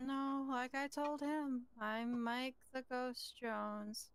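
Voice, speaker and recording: High, clear-voiced woman, flat and deadpan. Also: slow, no echo. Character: self-confessed male criminal, cheerfully accepting blame. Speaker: role-play gamer playing a suspect. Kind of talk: improvised in-character game dialogue.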